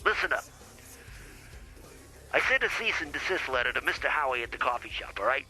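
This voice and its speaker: A man acting as folksy small-time lawyer, very forceful bright voice, swooping pitch, rambling advice, comedy podcast. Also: expressive, a little fast, soft background music. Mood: blustery advising. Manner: folksy, rambling, stumbles over legal words. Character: blustering male small-time lawyer advising podcast hosts. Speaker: lawyer character, show guest. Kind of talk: improvised comedy podcast banter.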